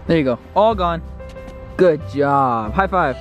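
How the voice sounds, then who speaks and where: A man's voice: fairly high pitch, lively intonation, slow, soft background music. A young man in his twenties filming a casual family vlog, playful and chatty, often talking to his toddler. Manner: playful, talks to his toddler in a sing-song way. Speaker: family vlogger. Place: outdoors in the snow and in a car.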